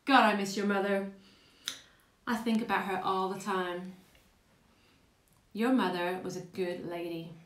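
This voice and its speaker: A woman's voice: mid-range voice, steady tone, slow pace, long pauses, fairly bright tone. A woman playing a blunt, chatty older working-class woman reminiscing fondly in a dramatic monologue. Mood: wistful. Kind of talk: dramatic stage monologue, conversational storytelling. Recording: roomy, echoing space.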